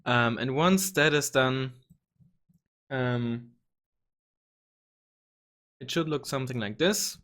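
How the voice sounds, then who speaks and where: A slightly hoarse young man hosting a casual gaming tutorial, rambling with many ums and restarts and the odd swear word. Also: medium pitch, slow, long pauses, a bit bright. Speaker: gaming channel host. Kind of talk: casual screen-recorded tutorial.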